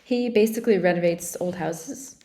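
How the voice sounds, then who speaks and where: A woman's voice: lowish voice, normal speed, warm tone. A woman from Montreal, a guest in an interview, answering in a chatty, self-deprecating way. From Montreal, Canada.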